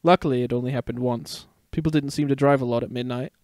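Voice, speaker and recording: A man's voice: medium pitch, fairly even intonation, quick pace, darkish tone, no echo, studio quality, lightly acted. A man voicing a playful, protective young father in a dramatic story reading. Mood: reading aloud. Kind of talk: dramatic fan fiction reading.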